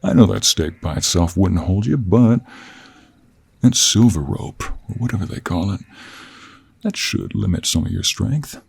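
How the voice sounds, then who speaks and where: A man voicing a confident, sly cowboy monster hunter with a gravelly voice and an American Western accent in a fantasy audio roleplay. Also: deep voice, expressive, measured pace, exaggerated voice. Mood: firm, teasing.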